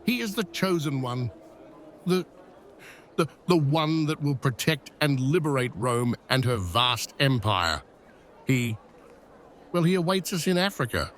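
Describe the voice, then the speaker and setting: A man playing a grand, excitable Roman emperor in a fantasy audio drama, given to flustered stammering. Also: medium pitch, a little slow, pauses often. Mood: awed, urgent. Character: excitable, easily swayed male Roman emperor. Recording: faint music underneath. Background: music.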